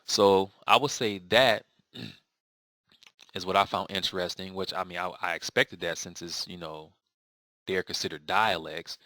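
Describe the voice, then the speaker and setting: A man's voice: low-pitched, fairly even intonation, measured pace, sharp tone, no room sound. A man answering viewer questions in a casual video lesson, rambling with many fillers and restarts. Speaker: language learning vlogger.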